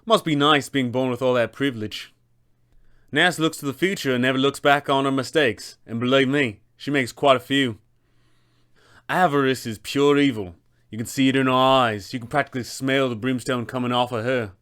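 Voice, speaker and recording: A man's voice: mid-range voice, steady tone, normal speed, a bit bright, clear, resonant tone, close to an everyday voice. Man acting a cocky, boastful illusion wizard. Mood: scornful. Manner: boastful, theatrical.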